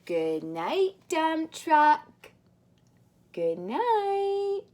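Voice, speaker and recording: A woman reading a picture book aloud to young children like a daycare teacher, in an expressive, sing-song way with drawn-out words. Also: high voice. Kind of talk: animated read-aloud of a children's storybook.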